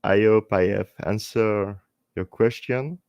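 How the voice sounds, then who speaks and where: Slow, low-voiced man with French accent. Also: darkish tone, no room sound, lo-fi sound. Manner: halting English with short phrases and repeated words. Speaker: live stream host. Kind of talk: earnest unscripted talk to online viewers.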